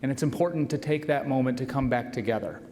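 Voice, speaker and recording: A man's voice: medium pitch, fast, a little mellow. Man speaking in a large echoing hall. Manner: conversational, earnest speaker. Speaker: university president. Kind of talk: formal welcome speech. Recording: roomy hall reverb, faint noise.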